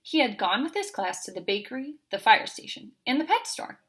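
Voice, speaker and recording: A woman's voice: on the high side, very animated, fairly quick, fairly bright tone, slightly rough. A woman reading a children's picture book aloud as a storyteller.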